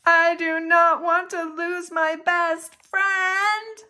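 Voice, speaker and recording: A woman's voice: extremely high pitch, flat delivery, very slow, pushed and bright, voice pushed up, exaggerated voice. A woman voicing an anxious, dramatic male elephant in a picture-book story, given to exclaiming. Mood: panicked.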